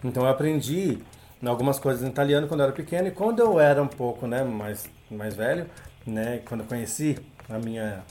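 A man's voice: medium pitch, fairly quick. Brazilian man telling stories at an easy pace. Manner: relaxed storytelling, many filler tags. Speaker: guest, English teacher. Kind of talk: casual podcast interview.

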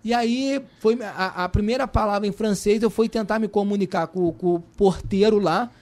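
A Brazilian man with a Brazilian Portuguese accent, a guest telling his story in a lively, colloquial way, full of restarts and repetitions. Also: high-pitched, normal speed. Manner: animated, colloquial, repeats and restarts. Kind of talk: casual storytelling.

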